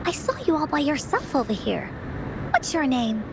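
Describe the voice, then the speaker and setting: Very high-pitched, excitable little girl adventurer. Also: normal speed, vocal fry, background noise, exaggerated voice. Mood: bubbly, confident. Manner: refers to self in third person, bubbly. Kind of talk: video game voice acting. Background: music.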